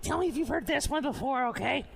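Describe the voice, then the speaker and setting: A woman voicing a manic, wisecracking goblin salesperson in an exaggerated, giggly pitch style for a comedy skit. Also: lively intonation, quick pace, creaky. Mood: cheeky joking. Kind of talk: comedy skit in a roleplay podcast.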